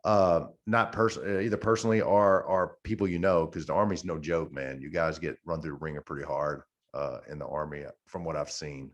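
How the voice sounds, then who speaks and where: A man in his forties with a deep, low voice co-hosts a podcast. He speaks in loose, informal chat, sprinkling in dude and man like someone talking to buddies. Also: fairly even intonation, a little fast, few pauses, lo-fi sound. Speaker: podcast co-host, active-duty service member. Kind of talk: casual chat.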